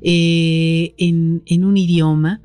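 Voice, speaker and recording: A Mexican woman author being interviewed, speaking in a reflective, thoughtful way with pauses and restarts. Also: fairly low pitch, little pitch movement, dark tone, clean tone, no echo, soft background music. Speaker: author, guest. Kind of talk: interview conversation.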